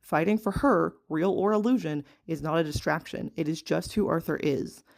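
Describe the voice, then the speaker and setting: Creaky-voiced listener reading a prepared message. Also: mid-range voice, normal speed. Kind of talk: prepared argument read aloud.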